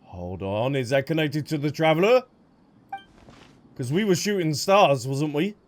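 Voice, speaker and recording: A man's voice: on the high side, animated, unhurried, a little hiss or hum. A man giving casual reaction commentary as he plays a video game, thinking aloud about the story. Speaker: game streamer. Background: game music.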